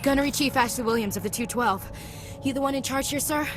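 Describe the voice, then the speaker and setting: An actress playing a tough, dutiful young soldier in a video game, earnest and direct in a military way. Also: female voice, high-pitched, quick pace, over music, lightly acted. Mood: shaken, dutiful. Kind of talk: video game cinematic acting.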